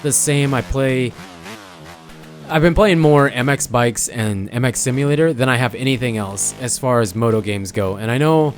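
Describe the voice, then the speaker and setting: A young man with a hoarse, fading voice, chatting casually as he comments on his own gameplay, often stopping to correct himself. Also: mid-range voice, moderate pace, faint music behind. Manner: rambling, self-correcting, chatty. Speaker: gaming channel host.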